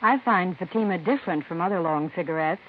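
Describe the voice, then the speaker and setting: A woman's voice: fairly low pitch, quick pace, a little hiss or hum. A woman giving a scripted advertising testimonial in 1950s radio style. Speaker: cigarette testimonial speaker. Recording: muffled old radio recording.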